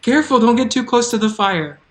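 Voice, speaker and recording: A woman's voice: a little high, expressive, brisk, pitched above the performer's own voice, natural voice. A woman playing a kind, practical young farm girl in a live fantasy table read, earnest and reassuring. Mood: concerned.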